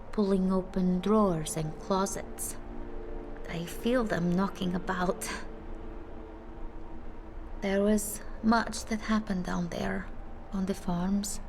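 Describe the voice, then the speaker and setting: An actress playing a haunted, fragile village woman in a video game, halting and somber, often dropping to a breathy near-whisper. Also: medium pitch, steady tone, a little mellow, slight background noise. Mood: haunted grief. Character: haunted, fragile young village woman who senses the dead. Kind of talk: video game character dialogue.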